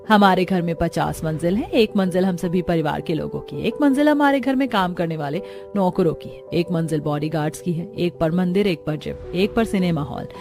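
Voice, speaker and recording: A woman's voice: fairly low pitch, a little mellow. A woman voicing a rich, status-anxious mother-in-law in a matter-of-fact manner.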